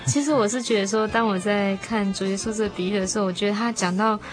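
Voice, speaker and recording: A woman's voice: mid-range voice, fairly even intonation, fast, music in the background. A woman co-hosting a conversational discussion of faith, thoughtful and earnest.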